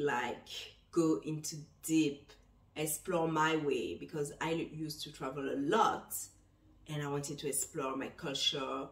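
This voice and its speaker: A woman in her thirties from Benin, raised in France, speaking as she tells her story reflectively to the camera, with many pauses. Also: deep voice. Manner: reflective, gestures while talking, many pauses. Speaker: travel vlogger. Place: small bright room indoors, seated in armchair. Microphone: camera across the room. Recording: echoey room, voice from a distance.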